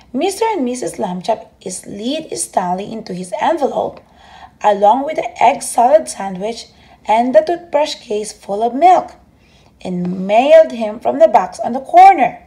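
A woman reading a children's bedtime story aloud as an expressive storyteller. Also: a little high.

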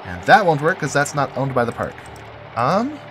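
A man with a head cold talks through his building with a stuffy, nasal voice, his pitch rising and falling a lot. He thinks aloud, chuckles and corrects himself as he goes, with clear game music running under him. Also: sing-song, moderate pace, fairly bright tone. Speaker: game video creator. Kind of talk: casual gameplay commentary.

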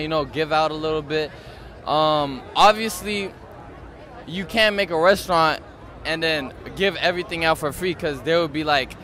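A young man talks in a relaxed, casual way, his voice slightly high. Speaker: interviewee.

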